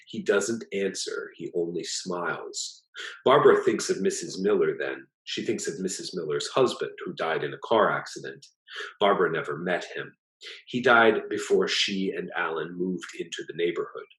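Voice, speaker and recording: A man reading a literary short story aloud as its author, in a measured, plain storytelling delivery. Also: low-pitched, fairly bright tone. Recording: echoey, roomy sound.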